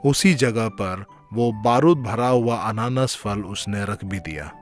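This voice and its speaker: A man's voice: lowish voice, animated, unhurried. A man telling a scripted children's story as its narrator. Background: music.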